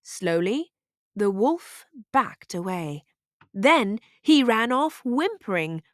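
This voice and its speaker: Female read-aloud narrator for kids' storybook, animated intonation, deliberate slow pacing. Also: medium pitch, no echo, studio quality.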